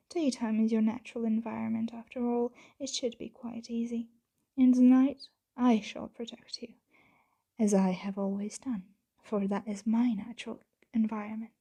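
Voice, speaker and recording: A woman voicing a possessive yet tender vampire owner in an ASMR roleplay, speaking softly and often whispering in formal, gently commanding words close to the mic. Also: on the high side. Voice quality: soft, breathy, often whispered. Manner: slow, hushed, gently commanding, formal wording. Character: possessive, tender female vampire owner addressing her servant.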